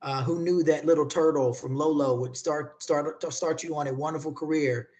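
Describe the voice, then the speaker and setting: A man hosting a virtual hiring event over a video call, halting and repeating words, with many fillers. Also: on the high side, fairly even intonation, darkish tone. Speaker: event host and moderator.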